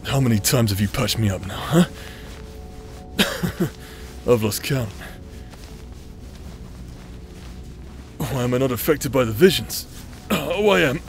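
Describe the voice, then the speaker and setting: A man's voice: medium pitch, animated, normal speed, soft background music, natural voice. A man acting out a cocky, battle-worn adventurer, protective and teasing, in an audio roleplay.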